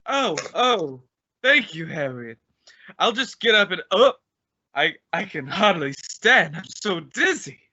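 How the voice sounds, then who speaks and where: A man acts out a blustering male school principal in an old-time radio comedy. His voice is piercingly bright and high, swooping about as he stammers with pompous indignation. The recording is dull and lo-fi. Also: big pitch swings, takes it slowly, exaggerated voice. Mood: dizzy, flustered.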